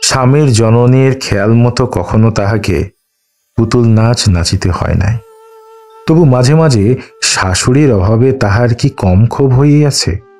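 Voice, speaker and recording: A man's voice: medium pitch, a little slow, darkish tone. A man reading a literary story aloud as a scripted audiobook narration. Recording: music under the voice. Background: background music.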